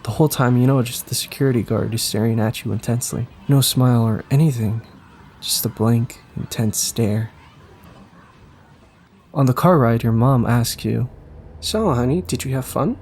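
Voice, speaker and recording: A man's voice: medium pitch, steady tone, normal speed, a little mellow. A man narrating a scripted audio story in the second person, with faint ambience behind him. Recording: faint background noise and ambience.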